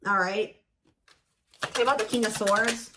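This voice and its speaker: Higher-voiced woman giving a tarot reading. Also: steady tone, measured pace, noisy background. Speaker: tarot reader, host. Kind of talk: casual intuitive tarot reading addressed to viewers.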